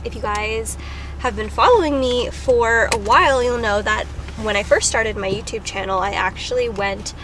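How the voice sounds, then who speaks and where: A woman's voice: a little high, moderate pace, background noise. Congested woman vlogging casually. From Philippines. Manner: chatty, lots of "like" and "um". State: congested from a cold. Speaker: travel vlogger. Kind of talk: casual vlog talk to camera. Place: driving around and outdoors in summer.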